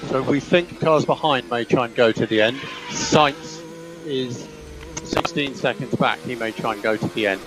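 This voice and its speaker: A man's voice: medium pitch, normal speed, rough recording. Male race engineer on team radio, music behind. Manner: clipped, repeats instructions. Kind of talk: team radio instructions to a racing driver.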